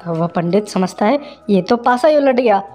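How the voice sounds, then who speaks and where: A woman's voice: mid-range voice, expressive, moderate pace, warm tone. Woman telling a kids' story over music. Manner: simple, conversational storytelling. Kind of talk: children's story narration.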